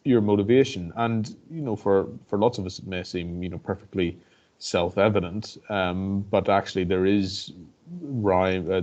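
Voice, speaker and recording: This is a man with a Northern Irish accent and a lowish voice. He talks in a level, measured way and often stops to restart a thought. The sound is dull and lo-fi, like a video call. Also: darkish tone. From Northern Ireland. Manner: reflective, hesitant, many restarts and fillers. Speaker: barrister and guest speaker. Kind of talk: personal talk to an online audience.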